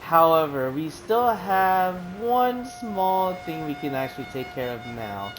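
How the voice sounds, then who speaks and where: A man's voice: a little high, sing-song, a little slow, over music, poor audio. A man streaming a game live, reacting in a chatty, emotional way with sighs. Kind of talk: casual live gameplay commentary.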